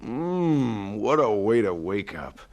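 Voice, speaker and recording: A man's voice: lowish voice, very animated, slow, exaggerated voice. An actor in an audio drama playing a kindly, talkative, plain-spoken rural foreman with a folksy manner. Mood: sleepy, puzzled. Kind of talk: dramatized radio play acting.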